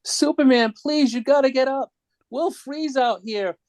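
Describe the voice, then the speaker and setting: A man voicing an excitable, easily frightened young boy in a dramatic comic book reading. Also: very high-pitched, measured pace, pitched above the performer's own voice. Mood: desperate pleading. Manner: pleading, exclaiming.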